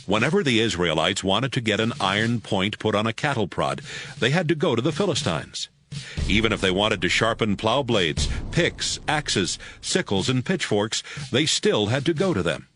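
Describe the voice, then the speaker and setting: A male narrator reads scripture as a measured story in a lowish voice. Faint ambient noise sits behind him. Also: very animated, fairly bright tone.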